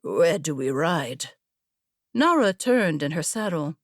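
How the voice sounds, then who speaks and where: A woman voicing a gruff, wry, weathered old horseman with a creaky voice in an audiobook story. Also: low voice, big pitch swings, takes it slowly, no echo, clean studio sound, full character voice. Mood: dry. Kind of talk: audiobook character dialogue.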